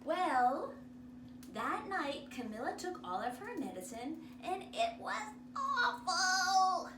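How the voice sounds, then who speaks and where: Woman storyteller, theatrical, very lively pitch, unhurried, roomy distant recording, background noise. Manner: theatrical, expressive. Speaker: drama teacher reading a picture book aloud. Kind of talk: animated children's storytelling.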